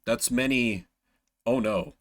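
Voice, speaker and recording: A man's voice: mid-range voice, slow pace. A man giving casual, sarcastic commentary as he streams a video game. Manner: wry, sarcastic.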